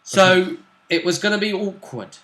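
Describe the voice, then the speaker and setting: A man's voice: sits high, a little slow, fairly bright tone. A man with a British accent casually recapping a tabletop role-playing session as game master, explaining things conversationally. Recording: echoey room.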